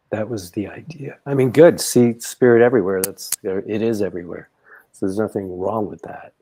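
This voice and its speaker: A man with a husky voice coaching in conversation, choosing his words in a measured, deliberate way. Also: fairly low pitch, mellow. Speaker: spiritual coach.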